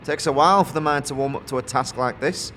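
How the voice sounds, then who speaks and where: A man's voice: mid-range voice, quick pace, bright, forceful tone, a little hiss or hum, voice pushed up, natural voice. A man voicing a wizened, sly, forgetful old storekeeper who rambles hesitantly in a fantasy audio drama. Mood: hesitant, musing.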